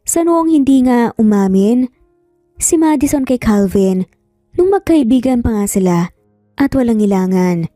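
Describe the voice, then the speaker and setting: A woman's voice: a little high, lively intonation, unhurried, long pauses, dark tone, dry recording, studio quality, faint music behind. A woman with a Filipino accent reading a scripted romance story as narrator.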